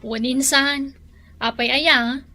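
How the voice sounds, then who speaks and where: High-voiced, exasperated Ilocano daughter. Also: female voice, fairly even intonation, slow, pushed and bright, exaggerated voice. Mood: friendly. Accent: Filipino, Ilocano. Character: exasperated grown daughter, chiding yet dutiful. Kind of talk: radio drama acting.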